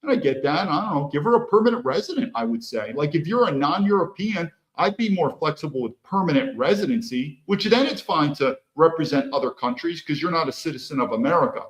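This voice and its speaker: A man's voice: fairly high pitch, low-quality recording. A man hosting a podcast, talking bluntly and emphatically in solo commentary and acting out imagined exchanges. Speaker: podcast host.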